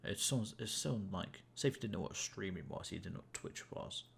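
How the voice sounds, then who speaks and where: Young male streamer, low gravelly voice, easygoing rambling chat, faint hiss on the mic. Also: expressive, brisk. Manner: rambling, self-amused, many fillers and restarts. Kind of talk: casual gameplay chat to viewers.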